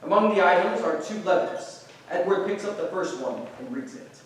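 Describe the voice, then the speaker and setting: A man's voice: high voice, expressive, normal speed. Man reading stage directions, echoey room. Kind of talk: scripted narration at a staged reading. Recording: very echoey room, distant mic.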